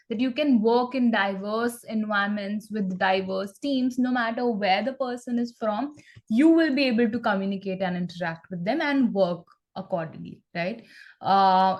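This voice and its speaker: A woman English trainer explaining in a measured, encouraging way and checking in with "right?" as she goes. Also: a little high. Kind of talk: conversational interview practice.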